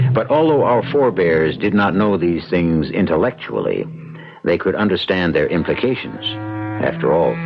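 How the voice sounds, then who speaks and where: Male host, deep voice, expressive pitch, scripted old-time radio narration, deliberate, music underneath, muffled vintage recording. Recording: dull vintage radio broadcast.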